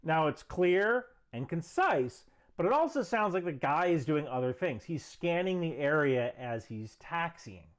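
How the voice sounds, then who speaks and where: A man's voice: on the high side, very animated, unhurried, hardly any pauses. A man presenting a scripted explainer commentary with emphatic, structured delivery. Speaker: video presenter.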